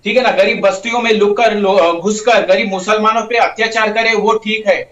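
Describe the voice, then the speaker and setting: A man's voice: high voice, steady tone, moderate pace, fairly bright tone. A man arguing as a party spokesman in a political TV debate, insistent and repeating his points. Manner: insistent, repetitive, uses tag phrases. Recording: roomy, dull, distant microphone.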